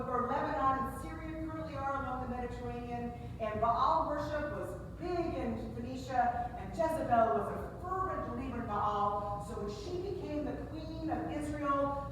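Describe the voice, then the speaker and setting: An American woman pastor giving an informal sermon, retelling Bible stories in a conversational, hesitant storytelling manner. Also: high-pitched. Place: large church sanctuary, indoors. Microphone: distant camera picking up amplified voice. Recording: echoey church hall, distant, noisy. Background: room ambience and noise.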